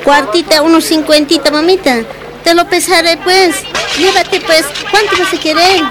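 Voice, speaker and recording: An actress playing a friendly, coaxing market vegetable seller in a radio drama, with a Bolivian accent and sing-song vendor patter. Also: female voice, very high voice, fairly even intonation, normal speed, heavy background noise.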